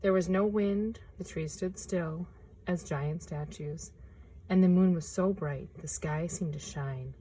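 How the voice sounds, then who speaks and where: Woman, storytime reading in the forest, hushed and expressive, lowish voice, slips into whispers. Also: measured pace, husky, low-quality recording. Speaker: nature preserve storyteller. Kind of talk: reading a picture book aloud. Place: outdoors in a forest preserve.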